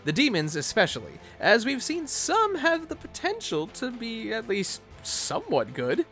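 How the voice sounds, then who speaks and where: A man's voice: fairly high pitch, bright, forceful tone. A man narrating an informal fan video essay, with playful, conversational asides.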